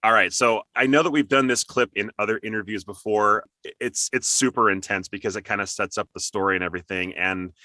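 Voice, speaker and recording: A male host chats casually in an interview. His voice is lowish but bright and crisp-edged, moving quickly, in a dry recording. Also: no room sound.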